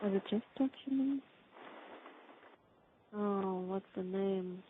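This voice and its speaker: A woman with a mid-pitched voice speaks casually on a work call through a thin, muffled phone connection. She is hesitant, searching for words and trailing off. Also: slow pace, slightly rough. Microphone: phone or conference call.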